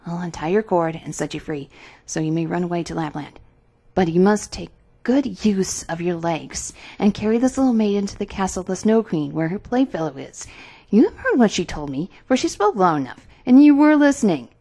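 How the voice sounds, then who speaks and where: A woman's voice: lowish voice, animated, a little fast, warm tone. A woman voicing a wild little robber girl in a storybook, bossy and emphatic, fierce but fond. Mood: commanding. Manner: commanding, emphatic. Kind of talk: storybook character voice.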